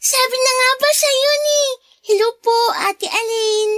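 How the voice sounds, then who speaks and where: A little Filipino girl in a radio drama. The voice is extremely high, sweet and eager, with very polite manners and a dry studio sound. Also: unhurried, fairly bright tone, no room sound. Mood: eager, happy. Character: polite, eager, innocent little girl, younger half-sister.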